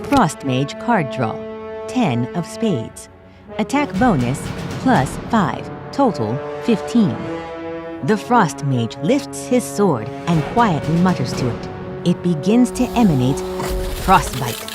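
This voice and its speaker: A woman's voice: fairly low pitch, sing-song, takes it slowly. A woman narrating a tabletop fantasy adventure as game master, in dramatic scripted storytelling style. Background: music.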